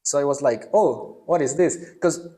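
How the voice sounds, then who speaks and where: A man's voice: fairly high pitch, moderate pace, dark tone. A young man from El Salvador, a student, telling his story as an interview guest in a loose, rambling way with many fillers. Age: young adult. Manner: rambling, lots of fillers and restarts. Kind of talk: casual conversational interview.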